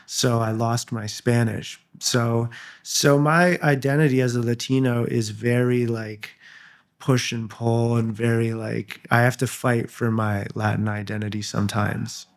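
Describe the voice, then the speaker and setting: A man's voice: mid-range voice, flat delivery, a little slow, gravelly. A young man from Canada talks as an interview guest in a loose, casual way. He stumbles, repeats words and leans on fillers as he thinks aloud. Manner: hesitant, many fillers and restarts.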